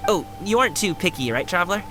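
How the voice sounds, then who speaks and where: A man's voice: sits high, lively intonation, fast. An actor voicing a friendly, attentive young man with a casual, earnest, boyish manner in an anime-style game. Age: young adult. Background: game music.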